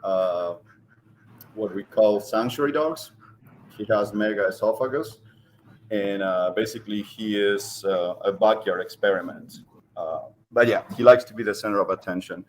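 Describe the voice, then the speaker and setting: Deep-voiced Argentine-accented man, hesitant. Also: slight background noise. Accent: Argentine Spanish. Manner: hesitant, many ums and restarts. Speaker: guest. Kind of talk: casual interview talk.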